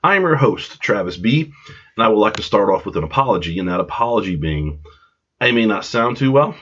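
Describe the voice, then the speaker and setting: A man's voice: fairly low pitch, lively intonation, brisk, fairly bright tone, husky. A congested man with a cold hosting a podcast, telling a rambling, self-deprecating story with side tangents. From Long Island, New York. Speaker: podcast host. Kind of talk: casual storytelling monologue. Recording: dull lo-fi home recording.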